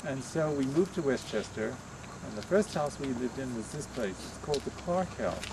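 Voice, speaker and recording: Elderly man, soft voice, halting storyteller, outdoors on camcorder, traffic noise behind. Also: mid-range voice, animated. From Pennsylvania, USA. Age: 80s. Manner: halting, many pauses and restarts. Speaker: family tour guide. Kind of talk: reminiscing storytelling. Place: outdoors by a roadside house.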